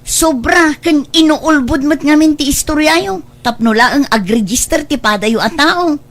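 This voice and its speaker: A high-pitched village woman played by an actress in an Ilocano audio drama. She speaks with an Ilocano accent in a blunt, scolding, exclamatory way, sounding skeptical of what she is told. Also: unhurried. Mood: scolding, indignant.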